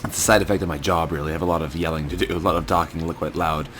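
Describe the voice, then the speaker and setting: A man with a hoarse, scratchy voice giving steady, methodical commentary as he plays a video game. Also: extremely low pitch, very fast. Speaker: gaming channel host. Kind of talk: let's play commentary. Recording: game gunfire and ambience behind the voice.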